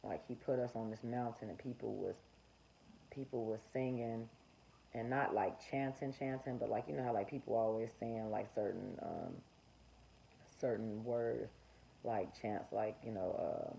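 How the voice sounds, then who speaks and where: Male vlogger, gravelly warm voice, off-the-cuff rambling monologue, muffled lo-fi recording with faint hiss. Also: on the low side, fairly even intonation, unhurried. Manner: rambling, frequent fillers and restarts. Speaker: vlogger sharing religious dreams. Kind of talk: unscripted spoken monologue.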